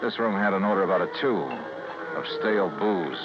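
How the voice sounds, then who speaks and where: A man's voice: low-pitched, brisk, over music, natural voice. A man with an American accent playing a hard-boiled insurance investigator in a golden-age radio drama, speaking in an emphatic, clipped manner. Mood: wry narration. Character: hard-boiled male insurance investigator, anguished and indignant as a suspect. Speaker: lead actor and narrator. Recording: muffled, noisy vintage radio recording.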